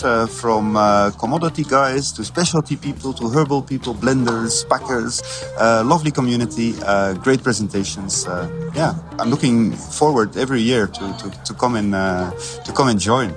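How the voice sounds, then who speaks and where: A Belgian man who speaks first talks hesitantly, filling pauses with "uh" as he answers. He is interviewed on a busy expo floor. His voice sits in the middle range, with clear music in the background. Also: medium pitch. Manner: hesitant, many fillers. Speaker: tea company representative. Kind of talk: trade show interview.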